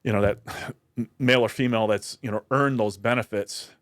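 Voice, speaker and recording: Guest, male, low voice, dry close mic, earnest explainer. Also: steady tone, normal speed, dry recording. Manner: earnest, explanatory, frequent restarts. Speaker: university director of military affairs, guest. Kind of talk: casual podcast conversation.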